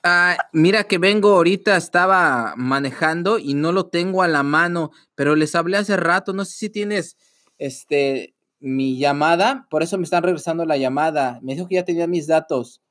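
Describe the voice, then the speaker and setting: A man's voice: on the high side, moderate pace, a bit bright, lightly acted. A man improvising a flustered, bickering husband on a prank phone call, stalling, repeating himself and pleading melodramatically. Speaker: podcast host making a prank call.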